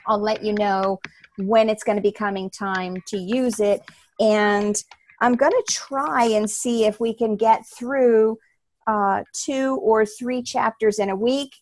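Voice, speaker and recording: An upbeat, welcoming woman from the New Jersey shore hosts a book study over a video call, chatty and quick to laugh. Her voice sits at an everyday middle pitch. The sound is close and dry, with no room around it. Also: darkish tone, no echo. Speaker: host leading an online book study. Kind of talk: casual group video call.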